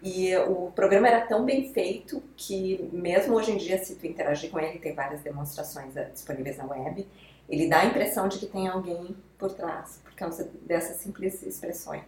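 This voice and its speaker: Low-voiced woman, explains step by step, echoey room. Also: moderate pace, darkish tone. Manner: patient, explains with step-by-step examples. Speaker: guest expert. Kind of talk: explanatory podcast talk. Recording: roomy echo.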